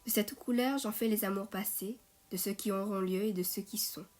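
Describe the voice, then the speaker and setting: A woman reciting poetry in a measured way, phrase by phrase. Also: mid-range voice, steady tone, darkish tone. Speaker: poet reading own poem. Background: music.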